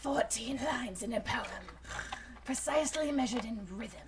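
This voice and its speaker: A woman with a high voice, bantering casually and teasing in short interjections. Her recording has clearly audible noise and clicks behind it. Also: normal speed. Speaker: co-host in a group reading.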